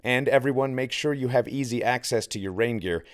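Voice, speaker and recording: A man's voice: medium pitch, a little fast, pitched above the performer's own voice, natural voice. A man playing an earnest, condescending male wilderness group leader in an audiobook, speaking instructively.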